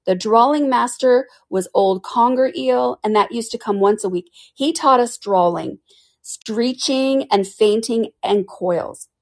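A woman's voice: a little high, pitched above the performer's own voice. A woman putting on a weepy, sighing Mock Turtle. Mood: mournful. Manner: weepy, plaintive, drawn-out delivery. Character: sorrowful male Mock Turtle, sighing and mournful. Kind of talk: storybook reading.

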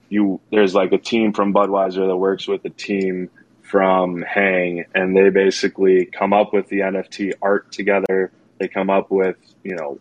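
A man's voice: deep voice, dull audio. A man co-hosting a podcast, talking casually with many hedges and fillers. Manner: relaxed, hedging, many fillers. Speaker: podcast co-host. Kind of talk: casual chat.

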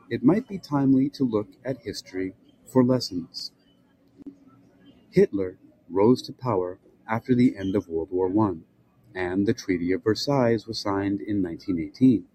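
An older man hosting a podcast, reading a prepared essay aloud with measured, rhetorical question-and-answer pacing. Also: lowish voice, mellow, a little hiss or hum, rough recording. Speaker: podcast host.

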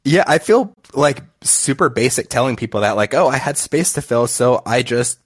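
A man's voice: medium pitch, lively intonation, normal speed, breathy edge, no room sound, clean studio sound. A man hosting a casual podcast chat, friendly and chatty, his voice a little nasal from allergies. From Florida. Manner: friendly, chatty, with fillers and restarts. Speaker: podcast host.

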